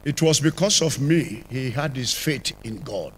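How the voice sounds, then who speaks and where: An older man with a Nigerian accent, telling his conversion testimony as a church apostle, with sudden rises and drops in loudness, on a handheld mic in a large church. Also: medium pitch, expressive, a little slow, a little hiss or hum. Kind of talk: testimony sermon. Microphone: handheld microphone.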